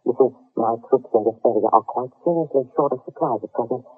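A man's voice: medium pitch, fast, husky, soft background music, close to an everyday voice. A man acting a suave, menacing impostor colonel, formal and mock-polite, in 1950s radio drama style. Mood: gloating. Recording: muffled old radio recording.

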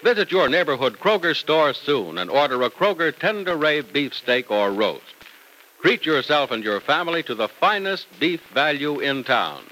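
Bright, animated old-time radio announcer man. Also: fairly high pitch, moderate pace, slight background noise, rough recording. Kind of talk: 1940s radio announcing and scripted ad read.

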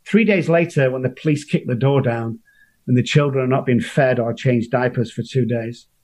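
A middle-aged man from Manchester speaks with a strong local accent at an ordinary pitch. He tells his story earnestly and emotionally, often stopping and restarting his sentences. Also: moderate pace, darkish tone. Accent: Manchester English. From Manchester, England. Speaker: guest. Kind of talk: personal recovery story.

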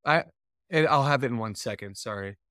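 A man's voice: mid-range voice, animated, a little fast, sharp tone, no echo, studio quality. A man co-hosting a casual podcast chat, hesitant and apologetic. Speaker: podcast co-host.

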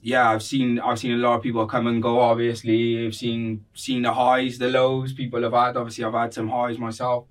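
A man's voice: mid-range voice, level tone, normal speed, hardly any pauses, recorded from a distance. A man from Cardiff with a Welsh accent, chatting casually and telling stories in informal, slangy, repetitive phrasing as a podcast guest. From Cardiff, Wales. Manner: informal, slangy, repeats phrases, frequent 'like' and 'bro'. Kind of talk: casual conversational storytelling.

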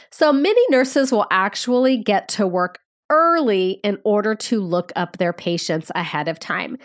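A fairly high-pitched woman talks through a podcast lesson, her voice nasal and stuffed up from a cold. She explains things plainly and speaks straight to the students listening. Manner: friendly, explanatory, addresses students directly. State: congested with a cold. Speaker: nursing educator and podcast host. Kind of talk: podcast teaching talk.

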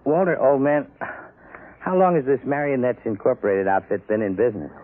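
A man's voice: medium pitch, big pitch swings, brisk, no room sound, narrow, tinny audio, close to an everyday voice. A man playing a henpecked husband, a chummy, marveling and eager old pal, in an old-time radio drama. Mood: hopeful, eager. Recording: band-limited old radio broadcast recording.

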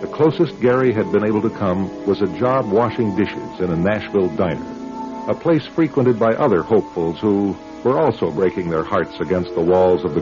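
A man narrating a suspense radio drama as announcer, in a formal, dramatic manner. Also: deep voice. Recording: dull radio recording over music.

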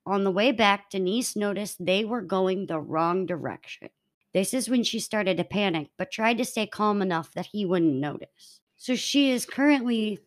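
Woman podcaster telling a story conversationally. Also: medium pitch. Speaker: true crime podcast host. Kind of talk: storytelling narration mixed with casual chat.

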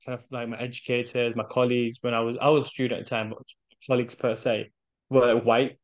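A British man talks quickly in a mid-range voice. He is a chatty podcast guest who tells anecdotes and slips into quoted dialogue. The audio is slightly dull and lo-fi. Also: medium pitch. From United Kingdom. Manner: chatty, animated storytelling with self-quoted dialogue. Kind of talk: casual chat, anecdotes.

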